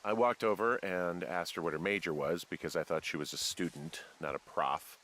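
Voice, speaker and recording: A man voicing a weary, wry, awkward male fire lookout in a video game, speaking hesitantly with low-key dry humor. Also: steady tone, moderate pace, a bit bright, slight background noise. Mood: wry, grumbling. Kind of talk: naturalistic video game voice acting.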